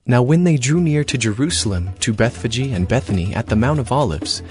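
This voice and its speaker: A man narrating a dramatized scripture reading in a steady, even way. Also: mid-range voice, brisk. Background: music.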